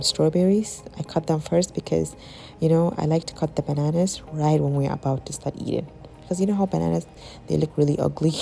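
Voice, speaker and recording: A young woman with a slightly husky voice, chatting casually to viewers while cooking in her home kitchen. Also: sits low, dark tone. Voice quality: husky, sounds slightly sick. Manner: chatty, giggly, talks to viewers as guys. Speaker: cooking channel host. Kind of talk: casual cooking vlog voice-over.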